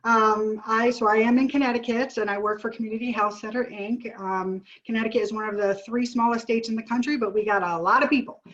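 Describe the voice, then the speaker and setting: A woman's voice: fairly high pitch, steady tone, a little fast, few pauses, fairly bright tone. A woman who works as an art therapist and clinical director, talking in a friendly, chatty way on a video call while getting over a sinus illness. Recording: dull lo-fi call audio.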